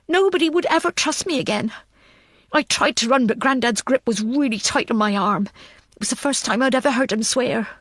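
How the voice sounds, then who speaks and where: A woman's voice: a little high, dry recording, clean studio sound. Woman narrating a thriller audiobook.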